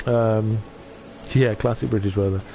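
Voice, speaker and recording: Gentle, hesitant man streaming from home. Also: fairly low pitch, steady tone, a little slow, vocal fry, soft background music, rough recording. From North Wales. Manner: gentle, hesitant, with many restarts. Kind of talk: casual chat reading out viewer comments. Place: at home on a sofa.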